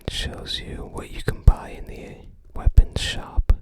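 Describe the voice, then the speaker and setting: An ASMR host speaking entirely in a breathy whisper, gently musing aloud in unscripted commentary with trailing phrases. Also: measured pace. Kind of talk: ASMR, unscripted commentary while browsing a game guide book.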